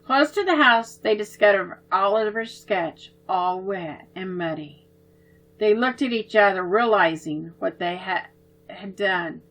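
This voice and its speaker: Bright-voiced woman telling a children's story. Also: medium pitch, unhurried, rough recording. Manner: expressive, gentle reading.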